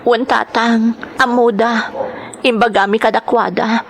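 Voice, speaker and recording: A woman's voice: a little high, steady tone, unhurried, crowd noise. A woman playing an anguished, devoted young wife in a sentimental radio drama, pleading and emotional. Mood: sorrowful, resigned.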